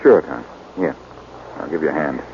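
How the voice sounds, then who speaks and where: A cocky american detective from a 40s radio drama, deep voice, dull hissy recording. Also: male voice. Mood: calm, sympathetic. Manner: brisk, wry, confident. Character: cocky, quick-witted male amateur sleuth. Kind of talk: 1940s radio acting. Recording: muffled, noisy 1940s radio recording.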